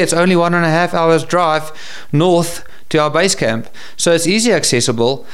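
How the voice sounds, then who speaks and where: Very clear, smooth South African man. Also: fairly high pitch. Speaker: professional hunter and safari business owner, interview guest. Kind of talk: conversational interview answers.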